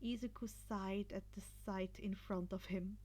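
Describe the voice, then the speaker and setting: A woman's voice: mid-range voice, steady tone, a little slow, a little mellow. A woman narrating fan fiction as an amateur audiobook-style reader, telling a scripted story.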